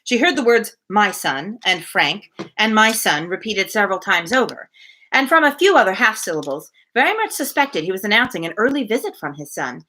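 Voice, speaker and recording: A woman's voice: medium pitch, brisk, slight background noise, poor audio. A woman hosting a live book reading, reading a classic novel expressively and slipping into casual, chatty asides. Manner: expressive, informal and chatty between passages.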